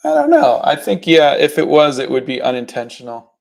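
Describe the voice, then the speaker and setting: A middle-aged man hosting an art livestream, chatting in a relaxed, halting way with fillers while he paints. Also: medium pitch, creaky. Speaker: artist and livestream host. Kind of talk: casual chat while painting.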